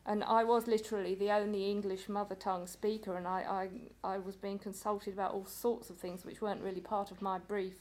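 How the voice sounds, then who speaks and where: Measured woman speaking on a panel. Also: medium pitch, little pitch movement, a little mellow. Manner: measured, with stumbles and repeated words. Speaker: literary translator on a panel. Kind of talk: panel talk.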